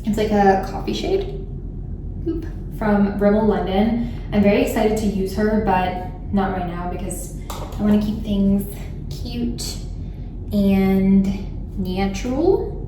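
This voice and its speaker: A young woman from Thailand chats casually through her makeup routine, dropping "like" into nearly every sentence. Her voice sits at an ordinary pitch and is picked up from a distance. A boomy room echo and a steady background hiss surround her. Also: slow pace, pauses often. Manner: chatty, frequent "like" fillers. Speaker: beauty vlogger. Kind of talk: casual get-ready-with-me chat. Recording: roomy echo, distant mic, background noise.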